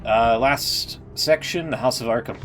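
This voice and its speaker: A man's voice: mid-range voice, measured pace, fairly bright tone. Casual man commenting over game music. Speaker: live streamer.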